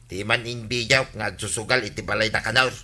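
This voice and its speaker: A man's voice: lowish voice, steady tone, moderate pace, sharp tone. An actor playing an indignant, righteous villager in an Ilocano radio comedy, complaining insistently as he vows to report wrongdoing.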